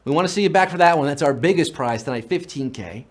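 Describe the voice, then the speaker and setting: A man's voice: a little high, expressive. A man hosting a live trivia game show, reading questions and joking playfully between answers. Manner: playful, punning, fast-patter quiz patter. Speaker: quiz show host.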